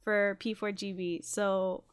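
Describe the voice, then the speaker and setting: A woman's voice: mid-range voice, flat delivery, slow pace, smooth, no room sound. A woman hosting a casual group podcast, talking informally and thinking aloud as she goes. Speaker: podcast host putting questions to the group.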